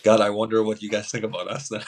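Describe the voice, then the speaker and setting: A man's voice: fairly low pitch, flat delivery, fast. A man from Albania, a guest chatting casually on a podcast, easygoing and laughing now and then. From Albania, Lushnjë. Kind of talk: casual chat.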